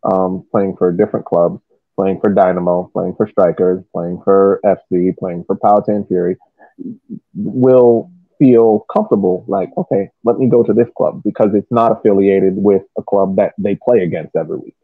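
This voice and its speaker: An American man talking casually on a soccer podcast, reasoning aloud in a halting way and often repeating his words. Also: sits low, steady tone, no room sound, narrow, tinny audio. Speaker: soccer podcast panelist and youth coach. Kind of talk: casual sports podcast discussion.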